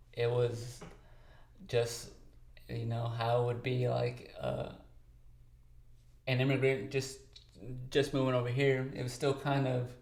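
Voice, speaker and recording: A talkative young man from Texas chats easily in an interview, with plenty of filler words. His voice has a gravelly edge and sits at a middle pitch. The sound carries a roomy echo. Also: darkish tone. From Texas, United States. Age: 20s. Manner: talkative, easygoing, many fillers. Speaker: podcast co-host and guest. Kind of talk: casual interview chat.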